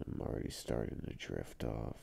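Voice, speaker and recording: A man playing a sleepy, affectionate boyfriend in an intimate ASMR roleplay, murmuring tenderly and haltingly in a gravelly, husky morning voice. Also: rumbling low, warm tone, a little hiss or hum, full character voice. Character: sleepy, affectionate boyfriend coaxing partner back to bed.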